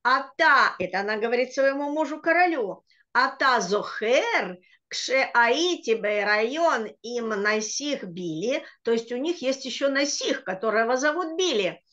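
A woman's voice: fairly high pitch, expressive, sharp tone. A middle-aged woman leading an online Hebrew reading lesson in Russian, patiently sounding out words and explaining their meaning. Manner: patient, slow and deliberate when sounding out words. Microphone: computer mic on a video call. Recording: dull lo-fi video call audio.

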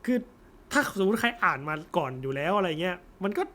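High-voiced young man chatting. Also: lively intonation, fast, pushed and bright. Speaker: anime discussion co-host. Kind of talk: casual post-film chat.

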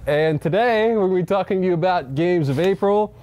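Man hosting, fairly high voice. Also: a little fast, a little mellow. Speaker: main host of a gaming show. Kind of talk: casual show hosting and chat. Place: indoor studio set with couches in a game shop.

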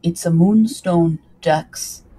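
A woman voicing a solemn, intense male benefactor in a slow, formal manner for a pirate story. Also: on the low side, mellow, husky, poor audio. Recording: music behind the voice.

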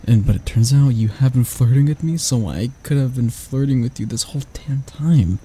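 A man playing a grumpy but soft-hearted barista, flustered and flirty, stammering and teasing in a romantic ASMR roleplay. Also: medium pitch, moderate pace, a little hiss or hum, close to an everyday voice. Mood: stunned, rambling.

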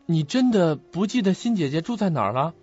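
An actor in an audio drama playing an earnest, puzzled young student who questions and muses to himself. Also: male voice, sits high, very animated, brisk, a little mellow, husky, soft background music, full character voice. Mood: puzzled, probing. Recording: muffled lo-fi audio.

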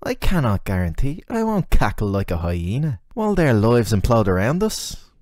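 A man's voice: mid-range voice, a little mellow, no room sound, clean studio sound. A man voicing a flirty, sardonic, ageless male demon who teases the listener in casual, slangy, intimate roleplay audio. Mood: playful, flirty.

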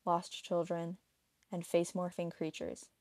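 A woman's voice: on the low side, completely flat delivery, unhurried, a crowd in the background. A woman reading a scripted explainer narration for an online video.

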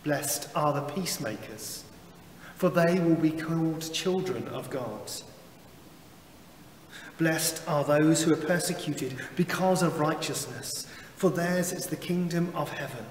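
A man in his thirties leading worship as a chaplain, reading psalms and prayers in a slow, measured liturgical way, recorded in a small chapel from a camera at a distance. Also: a little high, reverberant, long reverb tail, a little hiss or hum. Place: small chapel with wood-panelled wall. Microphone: far camera, seated behind a lectern.

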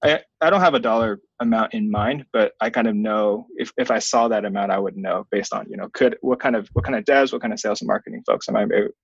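A man in his thirties answering interview questions conversationally as a guest, in a measured, hedging way. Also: on the low side, creaky, breathy edge, no room sound, lo-fi sound. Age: 30s. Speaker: software company founder, interview guest. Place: small plain indoor room. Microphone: webcam video call, wearing headphones.

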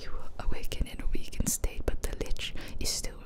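A woman reading a solemn male ghost's grave, formal game dialogue in a breathy ASMR whisper. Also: moderate pace, lightly acted. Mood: grave warning.

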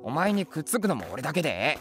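Animated, creepily possessive teenage boy. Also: a little high, fast talker, faint music behind. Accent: Mie. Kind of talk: voice comic drama acting.

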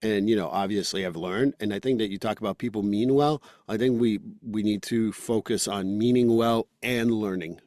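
A man's voice: on the low side, moderate pace, few pauses, a little mellow, dry recording. A man from Quebec with a French first language and a Quebec French accent, hosting a podcast in a blunt, joking, opinionated way. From Quebec, Canada. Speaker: podcast host. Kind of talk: casual podcast conversation.

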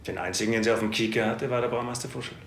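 An actor playing a brisk brewery man, answering questions in a TV crime drama. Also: medium pitch, steady tone, a little hiss or hum, natural voice. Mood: defensive. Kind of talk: naturalistic TV crime drama acting. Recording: echoey room.

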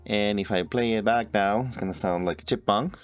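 A man's voice: fairly low pitch, a little fast, smooth, no room sound. A man in his forties narrating a video editing tutorial step by step as host. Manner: step-by-step, instructional. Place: indoor room at a computer. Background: music.

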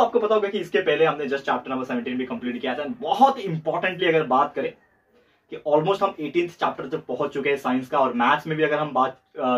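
A young Indian man teaching a whiteboard lesson, slightly hoarse, mixing English words in and checking in with "theek hai". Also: mid-range voice. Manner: fast, repetitive teacher talk with frequent "theek hai" checks. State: slightly hoarse throat, clearing it. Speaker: tutor. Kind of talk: whiteboard lecture in Hindi-English mix. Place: room in front of a whiteboard. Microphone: camera at a distance. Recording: distant, dull lo-fi camera audio.

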